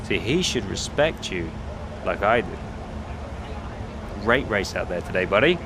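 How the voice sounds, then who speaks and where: A rival racing driver in a game story scene, needling under a show of friendliness. His voice is on the low side, with clear ambient noise around it. The delivery is naturalistic and smug. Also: sing-song, a little slow. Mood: needling, chummy. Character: smooth-talking male rival driver, smug, needling, falsely chummy. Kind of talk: naturalistic cinematic game acting.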